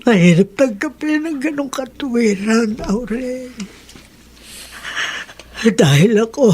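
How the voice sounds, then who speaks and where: An actress playing an aging, humble, wounded mother in a radio drama, halting and tearful. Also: a little high, animated, darkish tone, a little hiss or hum. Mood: bitter sorrow. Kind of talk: Tagalog radio drama acting.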